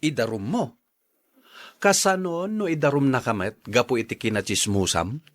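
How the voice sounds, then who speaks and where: A man's voice: medium pitch, expressive, moderate pace, lightly acted. An actor playing a blunt, exasperated man who questions a gossip in a radio drama.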